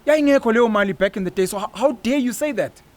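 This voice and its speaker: A South African man from KwaZulu-Natal, a podcast guest, speaking in a calm, earnest way. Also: very high voice, fast. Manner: calm and earnest, many short affirmations. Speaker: podcast guest, life coach. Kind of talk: casual podcast chat.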